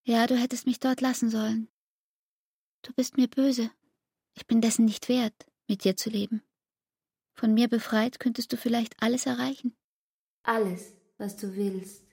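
Radio drama role: tired, reproachful young female lover, higher-pitched, husky and breathy, pleading with intensity. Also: steady tone, normal speed, long pauses, warm tone. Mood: sad, self-reproachful. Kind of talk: literary radio drama acting.

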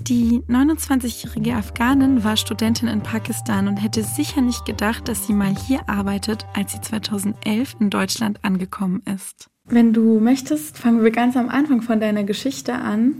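A woman's voice: on the high side, steady tone, normal speed, few pauses, a little mellow, soft background music. A woman hosting an interview, moving between conversational questions and scripted narration. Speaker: host and interviewer.

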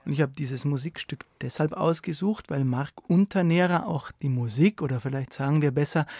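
Male culture radio host, pitch a little above average, interviewing, very dry studio-style sound. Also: dry recording.

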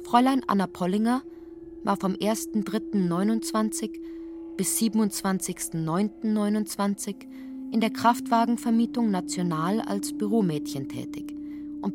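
A woman's voice: mid-range voice, moderate pace. A woman narrating a scripted literary radio play as its storyteller. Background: music.

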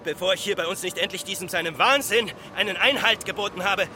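A man's voice: sits high, fast, pushed and bright. A man playing a bitter, stubborn villager in a radio drama, with brooding, repetitive, poetic phrasing. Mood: defiant. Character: bitter, stubborn villager resenting a relative's machine. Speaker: actor. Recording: clear outdoor urban, vehicle noise.

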